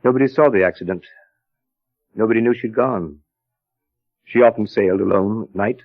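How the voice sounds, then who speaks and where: An actor playing a grave, reticent confidant in an old radio drama, with measured, reluctant delivery. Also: male voice, fairly low pitch, close to an everyday voice. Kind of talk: 1930s radio drama acting. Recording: very muffled old radio recording with music.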